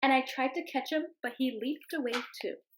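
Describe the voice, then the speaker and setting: A young woman teacher reading a picture book aloud to her class in a gentle storytelling voice, calling the animals in a sing-song tone. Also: sits high, normal speed, a little hiss or hum, poor audio. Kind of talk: children's read-aloud.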